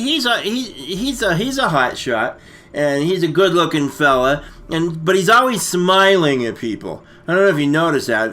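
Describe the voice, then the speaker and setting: A man co-hosting a casual comic movie recap, rambling and halting, full of slang. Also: fairly high pitch, sharp tone. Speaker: comedy storytelling co-host.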